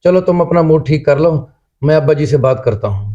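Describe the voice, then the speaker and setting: A man playing an indulgent father in a scripted family drama, speaking earnestly and deliberately. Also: a little high, animated, warm tone, muffled recording, close to an everyday voice. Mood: soothing. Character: indulgent father of a young boy, respectful but firm son.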